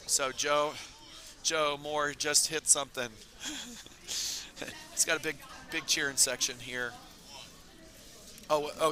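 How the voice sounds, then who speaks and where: A man commentating a curling tournament stream in a casual, rambling way, his voice raspy and hoarse from talking all weekend. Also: on the high side. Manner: relaxed, rambling, many fillers. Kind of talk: casual live sports commentary. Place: curling club.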